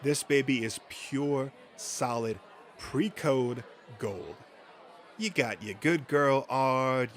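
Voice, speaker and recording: A man's voice: medium pitch, a bit bright, a little hiss or hum. A man voicing a pushy, sleazy comic book dealer in a comic dub, rolling through his sales patter with relish. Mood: gleeful hawking. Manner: salesman patter, relishing each item in lists. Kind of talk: comic dub character acting.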